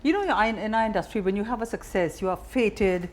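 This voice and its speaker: A woman's voice: medium pitch, fairly quick, a little hiss or hum. A woman with an Indian accent, working as a journalist and asking questions in a conversational interview.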